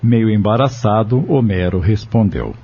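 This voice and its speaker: Low, husky male narrator. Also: animated, unhurried, mellow, muffled recording. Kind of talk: scripted narration of an audio drama.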